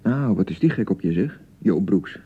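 A man acting an excitable young newlywed husband in a radio drama, by turns blustering and awkwardly pleading. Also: low voice, moderate pace, dull audio, a little hiss or hum. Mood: jealous, indignant. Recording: thin, band-limited 1990 radio broadcast.

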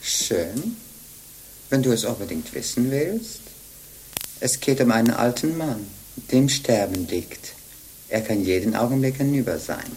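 An older actress playing a sly, jovial old bawd in a radio play, teasing and wheedling in a theatrical way. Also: female voice, extremely low pitch, lively intonation, unhurried, dark tone, a little hiss or hum, rough recording. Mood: matter-of-fact, sly. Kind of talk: German radio play acting.

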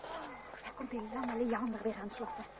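An actress playing a girlish, spirited young woman in love in a radio play, with an expressive theatrical delivery. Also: a little high, moderate pace, close to an everyday voice. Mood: affectionate. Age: young adult. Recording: dull, very noisy old radio recording.

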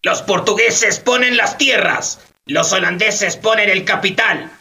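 Piercing, greedy sugar baron. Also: male voice, sits high, measured pace, gravelly, pushed and bright, exaggerated voice. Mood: greedy, scheming. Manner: insistent, commanding, exclaiming. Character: greedy, domineering male colonial sugar plantation magnate. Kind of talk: radio theatre acting. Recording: distant from the microphone.

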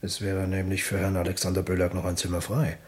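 A man acts a hotel servant in a radio drama. His very low voice is formal and coolly servile, addressing guests with distant deference. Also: monotone, fast, darkish tone, lightly acted. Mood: coolly obliging. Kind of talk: radio play dialogue.

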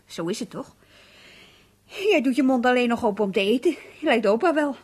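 Husky, high-pitched nagging housewife. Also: female voice, expressive, moderate pace. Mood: nagging, reproachful. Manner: needling, reproachful, colloquial. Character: nagging, exasperated middle-aged housewife, sceptical and practical. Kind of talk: naturalistic Dutch radio play acting.

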